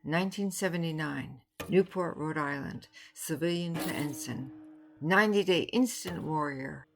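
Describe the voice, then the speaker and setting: An older American woman reading spoken-word poetry in measured, short phrases. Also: deep voice, gravelly, noisy background. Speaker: poet reading own work. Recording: music behind the voice. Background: music.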